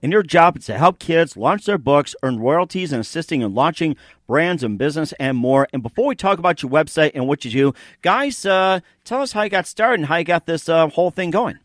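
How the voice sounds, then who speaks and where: A man hosting an interview show with upbeat, promotional announcing and an excitable, showy way of introducing things, often repeating himself. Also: a little high, a little fast, hardly any pauses, dry recording, studio quality. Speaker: radio show host and interviewer.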